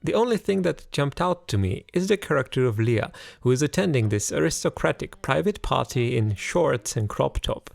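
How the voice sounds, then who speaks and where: A male game reviewer reads a scripted narration. His intonation moves up and down a lot, with a gravelly fry in the voice. The sound is close and dry. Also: medium pitch, animated, moderate pace, hardly any pauses, no echo.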